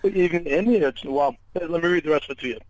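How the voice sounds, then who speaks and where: A man chatting casually on a phone call in an animated, amused way, often exclaiming. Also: fairly high pitch, quick pace, no echo.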